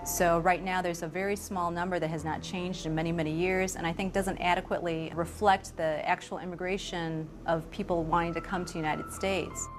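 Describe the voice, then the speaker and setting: A woman's voice: fairly low pitch, flat delivery, brisk, few pauses, music in the background. A woman immigration lawyer explaining things conversationally in an interview.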